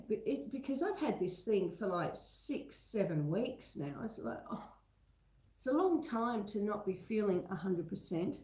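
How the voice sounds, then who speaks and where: A woman's voice: fairly high pitch, a little mellow, roomy sound, low-quality recording. An Australian woman hosting a homesteading livestream, chatting casually with viewers and answering their comments, her nose still a little blocked up. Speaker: homesteading channel host. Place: indoors at home in a roomy space.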